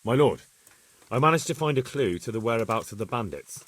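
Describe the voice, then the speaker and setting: A man's voice: mid-range voice, expressive, a little fast, fairly bright tone, slightly rough. A man playing an earnest, deferential retainer in a video game, speaking respectfully and plainly to his superior. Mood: respectful, reporting. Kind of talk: video game cutscene acting.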